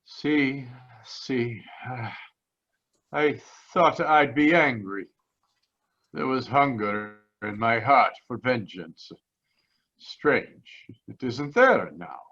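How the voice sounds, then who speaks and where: An actor playing an earnest, pious immigrant man with halting, solemn delivery in a radio drama. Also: medium pitch, animated, a bit bright, full character voice. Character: earnest Italian immigrant man, pious, grieving, slow-spoken. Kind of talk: 1940s radio drama acting. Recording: slightly dull video-call audio.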